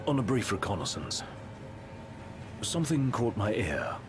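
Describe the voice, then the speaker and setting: A composed young royal adviser from a video game. His low voice moves expressively through formal, carefully articulated sentences. Loud train ambience sits behind him. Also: big pitch swings, a little slow, background noise. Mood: measured.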